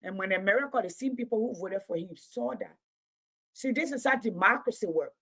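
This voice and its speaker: A woman's voice: mid-range voice, fairly quick, pushed and bright, breathy edge. A woman in her late fifties giving impassioned livestream commentary, preaching in repeated rhetorical build-ups. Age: 50s. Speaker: commentator and Pan-Africanism teacher.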